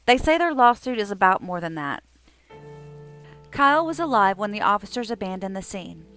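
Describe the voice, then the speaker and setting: A woman's voice: medium pitch, very animated, normal speed, a bit bright. Female narrator for a scripted documentary, mid-range voice, light music bed.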